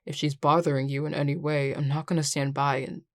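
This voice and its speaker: A woman's voice: low voice, level tone, brisk, no echo, studio quality, natural voice. A woman playing a grumpy young male coworker in an audio roleplay, curt and sarcastic with exasperated delivery. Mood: guarded, annoyed. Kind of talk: scripted audio roleplay acting.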